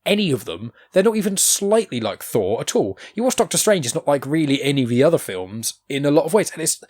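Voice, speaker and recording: A man chatting on a podcast, rambling and animated, with quick asides and casual swearing. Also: fairly high pitch. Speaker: podcast co-host. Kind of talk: casual chat.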